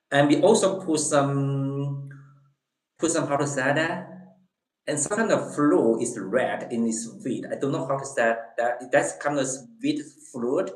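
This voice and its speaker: Male streamer with a Chinese accent, unhurried and halting, mid-pitched. Casual viewer chat in an echoey room. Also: a little mellow. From Eastern China. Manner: halting, repeats words, searches for English phrases. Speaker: livestream host.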